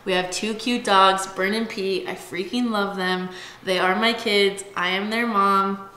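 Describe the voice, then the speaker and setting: Chatty bright-voiced young woman vlogger. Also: mid-range voice, steady tone, measured pace. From Washington state, USA. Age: late 20s. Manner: chatty storyteller, giggly, lots of "like" and "um". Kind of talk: casual chat. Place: bright home kitchen, indoors. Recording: echoey room.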